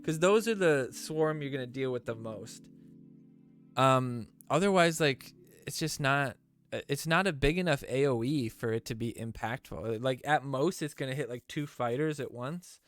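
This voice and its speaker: A man's voice: medium pitch, normal speed, a bit bright, faint music behind. Stuffy-nosed man rambling through a stream. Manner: rambling, lots of fillers and restarts. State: stuffy nose. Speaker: gaming streamer host. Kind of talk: casual live-stream commentary.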